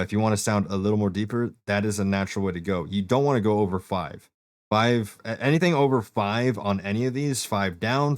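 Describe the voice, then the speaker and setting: A man with a deep voice casually walking a friend through a streaming setup, step by step with fillers. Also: no room sound. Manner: explains steps plainly, fillers, talks to a friend. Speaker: streamer giving setup help. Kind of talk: casual walkthrough.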